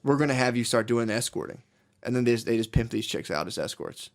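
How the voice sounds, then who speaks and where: A man co-hosting a crude comedy podcast, swearing a lot and often saying dude, who drops low and quiet when he retells stories. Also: fast talker, creaky. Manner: profane, animated, says dude a lot. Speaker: podcast co-host.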